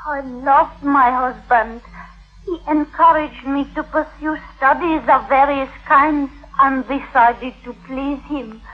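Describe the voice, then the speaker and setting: A muffled vintage radio recording of a devoted, accused widow. She speaks very slowly in a highish voice, formal and composed, like a confession in a 1950s crime drama. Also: steady tone, soft background music. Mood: solemn, wistful.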